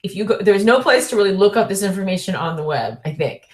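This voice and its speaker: A woman's voice: mid-range voice, big pitch swings, fast talker, reverberant. A woman in her forties giving a recorded online lecture, chatty and full of fillers, correcting herself and laughing lightly between points. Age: 40s.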